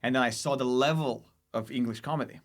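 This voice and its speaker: A man's voice: medium pitch, fairly quick. A man with a Lithuanian accent, a stand-up comedian chatting casually as a podcast guest, often restarting and repeating his phrases.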